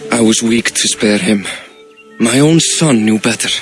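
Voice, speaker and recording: A man's voice: lowish voice, a little slow, faint music behind, lightly acted. An actor playing a proud, rueful Norse king in a video game, plain-spoken and wry. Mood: rueful, urgent. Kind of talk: video game cinematic acting.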